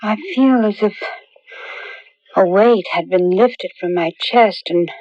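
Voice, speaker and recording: An actress playing a weakened, wounded young fiancée in a radio drama, pained and restrained. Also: female voice, mid-range voice, slow, no room sound. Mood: weary relief. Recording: dull lo-fi old radio recording.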